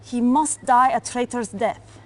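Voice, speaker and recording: An actress playing a stern Soviet intelligence officer in a video game, controlled and clipped. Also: female voice, a little high, a little slow, close to an everyday voice. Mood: commanding, calculating. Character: cold, commanding Soviet female intelligence officer. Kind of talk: video game cutscene acting.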